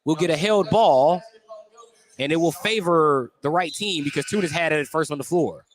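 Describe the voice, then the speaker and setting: Guy doing live hoops play-by-play on radio, kinda higher voice, clipped descriptive calls. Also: moderate pace, a little hiss or hum, poor audio. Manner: clipped, descriptive running call. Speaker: play-by-play basketball announcer. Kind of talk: live radio sports commentary.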